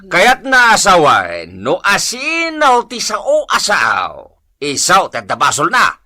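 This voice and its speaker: Casting: male actor as a scolding Ilocano village chief, forceful bright voice, impatient questions, lively comedy radio drama swings. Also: high voice, takes it slowly, exaggerated voice. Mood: exasperated scolding. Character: exasperated scolding male barangay captain.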